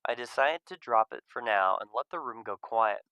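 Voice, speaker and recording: A man reading a visual novel aloud as an expressive first-person narrator. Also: medium pitch, normal speed, no echo, dull audio.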